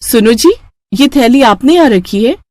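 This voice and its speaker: A woman voicing a kind, humble, pious younger sister and mother in a storybook tale, gentle and earnest. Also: a little high, animated, moderate pace, no echo, clean studio sound. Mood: puzzled.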